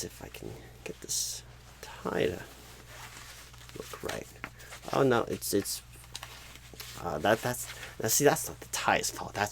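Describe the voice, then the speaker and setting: A man voicing a clueless, flustered job recruiter in an ASMR comedy roleplay, stammering and pausing oddly in a husky, creaky voice. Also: medium pitch, full character voice. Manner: halting, stammering, odd pauses and sudden swoops. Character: bumbling, clueless, flustered male job recruiter, celebrity impression.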